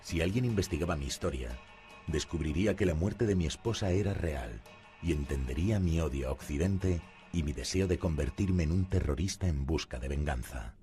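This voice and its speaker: A man narrating a documentary in the first person, in a calm and measured way. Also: a bass voice. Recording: music playing behind.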